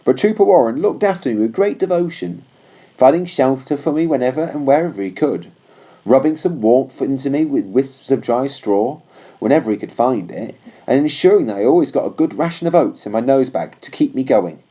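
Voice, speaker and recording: A man's voice: mid-range voice, expressive, fairly quick, warm tone, husky, low-quality recording. A man reading a scripted story aloud as narrator of an audiobook. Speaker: audiobook reader.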